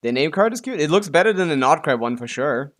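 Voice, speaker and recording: A man's voice: fairly high pitch, sing-song, fast talker. A man giving casual, offhand live commentary as a game streamer, muttering to himself and swearing freely.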